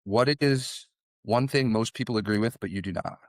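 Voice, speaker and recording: A man's voice: lowish voice, moderate pace, dry recording, clean studio sound. A middle-aged man hosting a podcast in a conversational interview style. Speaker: podcast host.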